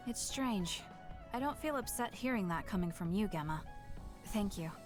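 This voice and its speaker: A woman voicing a composed, resolute young soldier in a video game drama, guarded but caring, with a cool and deliberate delivery. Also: mid-range voice, expressive, lightly acted. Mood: reserved. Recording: loud music behind the voice. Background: background music.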